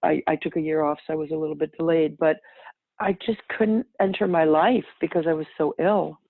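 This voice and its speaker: A woman's voice: sits low, fairly even intonation, brisk, gravelly, dry recording. A middle-aged woman whose first language is French tells her own story as a podcast guest in a reflective, rambling way, often correcting herself mid-sentence. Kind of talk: casual personal storytelling. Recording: tinny phone-line sound, dry.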